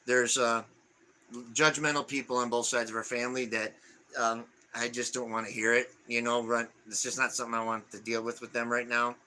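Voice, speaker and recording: A man in his forties co-hosting a casual live stream, reading viewer comments aloud in a halting way with lots of fillers. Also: mid-range voice, level tone, sharp tone, slight background noise. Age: 40s.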